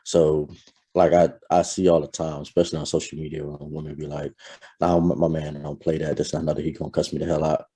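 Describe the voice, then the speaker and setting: A man talking in a reflective, soft-spoken way as a guest in a casual conversation, pausing to search for words. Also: rumbling low, little pitch movement, breathy edge.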